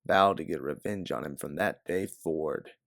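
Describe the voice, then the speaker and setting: A man's voice: rumbling low, moderate pace, fairly bright tone, no room sound, clean studio sound, voice pushed down. A man voicing a guarded, hard-bitten female bounty hunter in a science fiction audiobook. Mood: bitter, matter-of-fact. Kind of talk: audiobook character dialogue.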